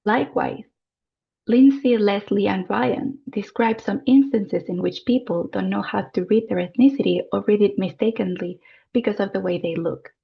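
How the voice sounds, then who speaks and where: A woman presenting an academic paper in a formal, measured reading style. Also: mid-range voice, creaky, highs cut off. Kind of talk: reading a prepared conference paper.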